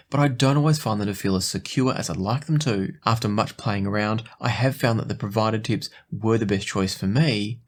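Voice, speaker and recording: A man presenting a product review in a conversational, explanatory and even-handed way. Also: medium pitch. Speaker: host of an audio gear review show.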